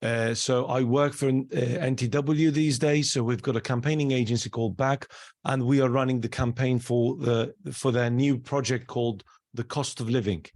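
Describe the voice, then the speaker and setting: A man from Turkey, a campaign worker announcing a project on a video call in quick, slightly broken phrases. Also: mid-range voice, a little mellow.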